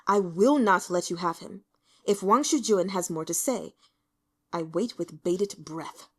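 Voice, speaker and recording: A woman's voice: on the low side, unhurried. A woman voicing an aloof, hostile male general in an audiobook, speaking in a clipped, frosty, formal way. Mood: cold anger.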